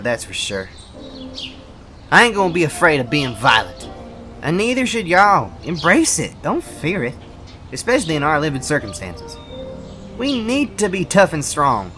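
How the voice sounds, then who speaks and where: A man's voice: a little high, bright, forceful tone. A young man voicing a scrappy, earnest thirteen-year-old cartoon bunny boy with a Southern US accent, emphatic and folksy as he pleads. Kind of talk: dramatic cartoon monologue.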